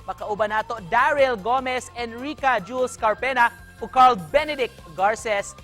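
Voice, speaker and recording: High-voiced Cebuano man hosting a live show. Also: normal speed, bright, forceful tone, soft background music. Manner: upbeat, greets in Cebuano and English. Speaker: news program host. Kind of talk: live morning show interview.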